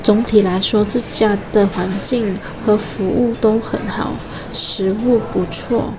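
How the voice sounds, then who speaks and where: Slow-talking woman. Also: medium pitch, steady tone. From Malaysia. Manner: unhurried, pointing out dishes one by one. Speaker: food vlogger. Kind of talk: casual food tour narration. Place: hotel buffet restaurant. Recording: restaurant noise behind the voice.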